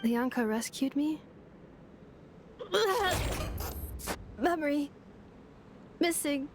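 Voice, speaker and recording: A woman playing a polite, earnest young robot maid in a video game, speaking in a measured, formal, slightly mechanical way. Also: high-pitched, background noise. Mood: confused. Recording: clear music under the voice.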